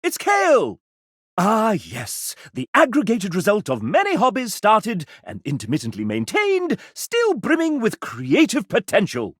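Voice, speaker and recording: A man's voice: high voice, wildly swooping pitch, unhurried, husky, dry recording, studio quality, exaggerated voice. An actor playing a sassy, theatrical male guide, narrating a video game in an arch, chatty way.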